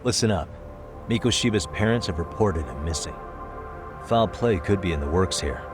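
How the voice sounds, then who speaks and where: A man's voice: low voice, animated, moderate pace, music in the background. An actor playing a cool, sardonic male detective in a video game, confident, needling and stern.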